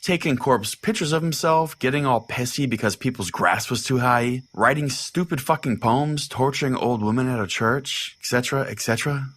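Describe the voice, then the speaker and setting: Man playing a husky, pompous pastor radio host. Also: mid-range voice, moderate pace, hardly any pauses, a bit bright, natural voice. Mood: smug, conspiratorial. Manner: foul-mouthed, pompous, dismissive. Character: crude, self-righteous small-town pastor hosting a radio show. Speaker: podcast host and storyteller. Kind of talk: comedic storytelling in radio call-in format.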